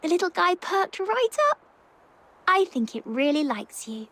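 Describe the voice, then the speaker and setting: A woman voicing a gentle, earnest young woman who guides others in a video game, speaking softly and a little hesitantly. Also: very high voice, big pitch swings, unhurried, heavily acted. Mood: delighted. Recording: loud game music behind.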